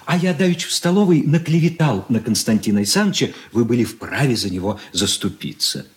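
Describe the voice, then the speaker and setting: Man playing oily schemer, mid-pitched, very lively intonation, mock-polite, insinuating, radio play. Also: quick pace, lightly acted. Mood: sly menace. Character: sly, insinuating male schemer, oily and mocking. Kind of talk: Soviet radio play acting.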